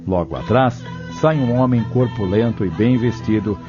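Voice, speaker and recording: A man's voice: on the low side, lively intonation, moderate pace, music in the background. A man narrating an audio drama from a script. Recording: dull lo-fi audio drama recording.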